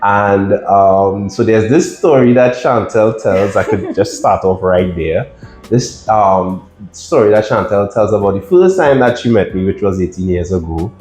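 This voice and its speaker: Deep-voiced Trinidadian man hosting. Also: a little slow, hardly any pauses, echoey room, soft background music. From Trinidad and Tobago. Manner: relaxed, encouraging, frequent "right" and "for sure". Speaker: host. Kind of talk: casual conversational interview.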